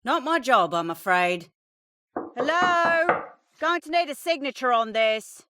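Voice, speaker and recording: A woman's voice: high voice, expressive, measured pace, sharp tone, heavily acted. An actress playing an indifferent, unhelpful female delivery worker with an offhand, casual manner in a video game. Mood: offhand, unbothered.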